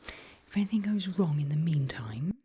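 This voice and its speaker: A woman whispers softly in an ASMR medical roleplay, mellow and on the low side. She takes her time, gently reassuring a patient as she works. The microphone is close, with a faint hiss behind her. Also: expressive, normal speed. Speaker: ASMR performer playing a back-alley eye surgeon.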